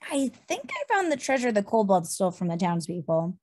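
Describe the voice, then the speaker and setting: A woman voicing a good-natured, wordy gnome bard in an animated fantasy table read, with a theatrical manner. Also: mid-range voice, a little fast, dry recording. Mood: earnest. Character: stout thirty-five-year-old gnome bard, good-natured and wordy.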